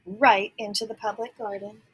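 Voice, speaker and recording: A woman reading a story aloud to young children, pausing to explain words and ask questions. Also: mid-range voice, flat delivery, breathy edge, low-quality recording. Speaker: picture book reader.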